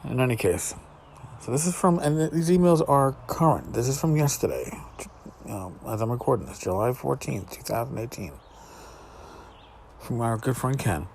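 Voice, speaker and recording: A man's voice: medium pitch, animated, normal speed, gravelly, a little mellow, slight background noise. A man hosting a casual solo podcast from a back porch outdoors, talking in a blunt, wry, salty way. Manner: blunt, profane, wry commentary. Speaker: podcast host.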